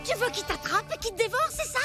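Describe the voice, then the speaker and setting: A woman voicing an anxious, excitable young boy in a dubbed cartoon, with an urgent, jittery delivery. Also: very high voice, racing. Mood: alarmed. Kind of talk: animated series dubbing. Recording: music playing behind.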